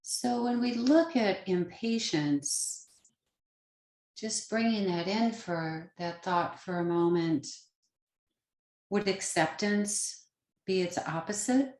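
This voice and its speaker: A woman leading a guided meditation as a teacher, speaking gently in short, unhurried phrases with pauses between them. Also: mid-range voice. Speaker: yoga nidra meditation teacher. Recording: roomy, echoing sound.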